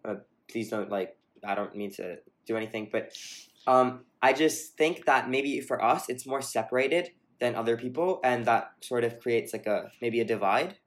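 A man's voice: mid-range voice, normal speed. Man co-host, mid-range voice, reflective casual talk with plenty of hedges and restarts. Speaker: podcast co-host. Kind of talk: casual discussion.

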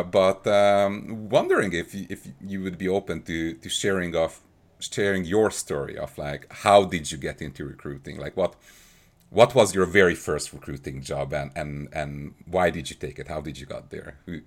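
A man's voice: deep voice, normal speed. A man hosting a podcast, asking questions hesitantly with many restarts. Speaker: podcast host. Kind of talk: interview questions.

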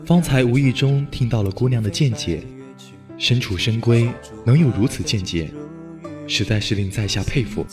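An actor playing a courteous, scholarly young gentleman in a Chinese period audio drama, courtly and formal. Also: mid-range voice, animated, normal speed, lightly acted. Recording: music behind voice.

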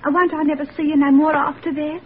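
A woman's voice: high voice, level tone, fast, close to an everyday voice. A woman playing an anxious village girl. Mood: pleading. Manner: breathless, pleading, eager. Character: young working-class village girl, anxious and devoted. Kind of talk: 1940s radio acting. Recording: tinny old radio broadcast, faint hiss.

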